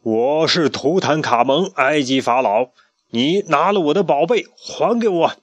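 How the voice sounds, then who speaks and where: A man voicing an angry floating ghost pharaoh in a bedtime storybook, slow, stern and loud. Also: fairly high pitch, animated, pitched above the performer's own voice.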